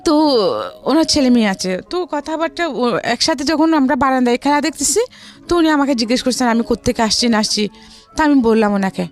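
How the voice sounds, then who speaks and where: A woman's voice: high-pitched, expressive, brisk, soft background music. A woman with a Bangladeshi accent, a guest recounting her own life story in conversation.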